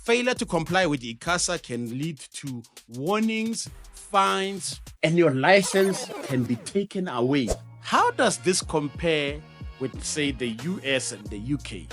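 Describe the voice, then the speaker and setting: A man's voice: fairly high pitch, expressive, measured pace, a bit bright, soft background music. A South African man hosting an educational show, reading a scripted explainer in an emphatic presenter's manner with conversational asides. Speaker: host of an educational show on radio broadcasting.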